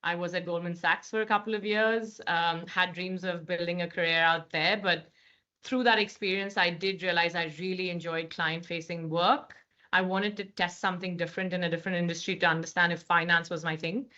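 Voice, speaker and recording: A woman's voice: fairly low pitch, fairly even intonation, fairly quick, pushed and bright. A woman in her thirties with an Indian accent, a guest speaking articulately in a podcast interview with frequent fillers. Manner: articulate, many fillers and restarts. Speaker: guest, managing director. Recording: slightly dull lo-fi sound.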